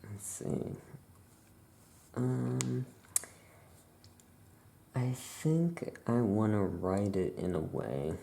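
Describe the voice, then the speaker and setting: A soft-spoken ASMR host playing a witch shopkeeper, casually improvising and thinking aloud in an unhurried, rambling way. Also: medium pitch, very animated, creaky, a little hiss or hum.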